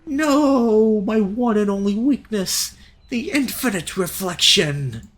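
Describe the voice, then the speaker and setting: A hammy, melodramatic villain acted out by a man for a visual novel. The voice is pushed high, with theatrical, drawn-out delivery and big swings in pitch. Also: animated, fairly bright tone, full character voice. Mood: melodramatic agony. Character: melodramatic villain mirror demon in amateur playacting.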